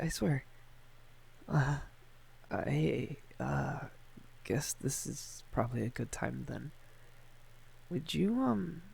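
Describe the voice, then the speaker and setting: A man playing a shy, tender, awkward young man, a friend's older brother, in an intimate ASMR roleplay, speaking softly and hesitantly with a stammer. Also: mid-range voice, vocal fry, breathy edge, full character voice. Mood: flustered, nervous. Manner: hesitant, stammering, gently teasing.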